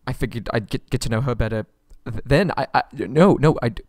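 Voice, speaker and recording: A man's voice: mid-range voice, brisk, a little mellow, no room sound, studio quality, close to an everyday voice. A man playing a nervous, submissive, polite young prince held captive, stammering and pleading in an ASMR roleplay audio drama. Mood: panicked, pleading.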